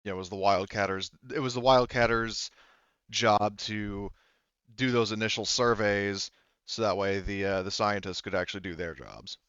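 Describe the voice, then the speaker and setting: A man voicing a veteran colonial prospector in a tabletop game, casual and forthcoming, explaining in a rambling, halting way. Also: on the low side, a bit bright, clean tone, no echo, muffled recording, lightly acted. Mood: explanatory.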